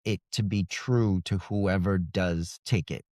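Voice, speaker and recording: Man, author guest, casual conversation, reflective, lowish pitch, dry recording. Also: fairly even intonation, a little slow, darkish tone, dry recording. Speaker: guest, indie fantasy author. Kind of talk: casual interview chat.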